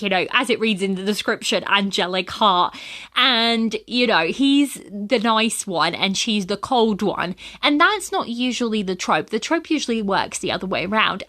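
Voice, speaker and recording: A woman's voice: medium pitch, fairly bright tone. Chatty woman podcaster with a cold. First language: Portuguese. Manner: chatty and rambling, frequent fillers. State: has a cold, sniffly. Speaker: podcast host. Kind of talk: casual solo podcast chat.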